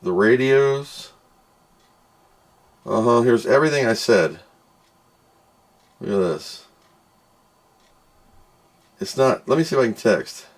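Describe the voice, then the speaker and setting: A man's voice: mid-range voice, animated, slow, pauses often. A man giving a casual, unscripted product review as host, thinking aloud and grumbling as he goes. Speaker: host of a product test video.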